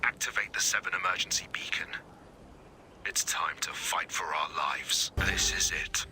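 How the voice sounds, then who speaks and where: An actor playing a grave, terse resistance leader giving mission orders in a video game, with a breathy undertone. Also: male voice, low-pitched, normal speed, in a whisper, natural voice. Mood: grim resolve.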